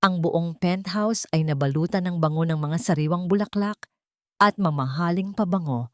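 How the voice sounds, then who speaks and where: A woman narrating a dramatic audio story in a slow, solemn, measured way. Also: on the low side, dry recording, clean studio sound.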